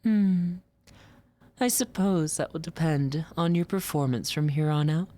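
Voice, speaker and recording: A woman plays a female superior who scolds and teases her agent in a roleplay. She is domineering and sultry, drawing out each phrase slowly in a soft, relaxed, slightly low tone. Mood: teasing, scolding. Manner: slow, sultry, condescending drawl. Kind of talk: scripted roleplay voice acting.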